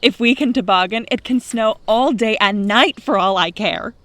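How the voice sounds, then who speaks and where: A woman's voice: fairly high pitch, moderate pace, a bit bright, dry recording, lightly acted. A woman playing a blunt, wisecracking house guest in an audio drama, with a dry, wry way of talking. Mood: enthusiastic. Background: music.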